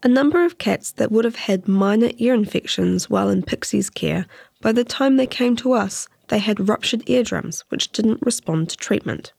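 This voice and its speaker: A woman's voice: medium pitch, lively intonation, normal speed, vocal fry, a little mellow, no room sound, studio quality. A woman with a New Zealand accent reading a scripted piece of longform journalism as its narrator.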